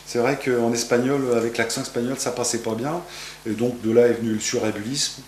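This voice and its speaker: Hesitant French painter in echoey gallery. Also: male voice, medium pitch, steady tone, brisk, slight background noise. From France. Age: 50s. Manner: rambling, hesitant with many fillers and restarts. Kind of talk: interview answers. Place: art gallery, indoors.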